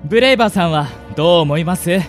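A man's voice: on the high side, lively intonation, fast talker, close to an everyday voice. A man playing a polite, earnest, worrying healer with formal speech in a comedic radio drama. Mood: mildly worried. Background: music.

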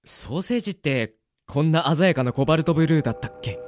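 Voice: mid-range voice, animated, quick pace, darkish tone, faint music behind, lightly acted. Actor as a wisecracking boy with a swooping delivery. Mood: wry, baffled. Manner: dry comic retorts, muttered asides, startled outbursts. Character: exasperated, wisecracking boy trapped in a swapped body. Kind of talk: amateur comedic radio drama acting.

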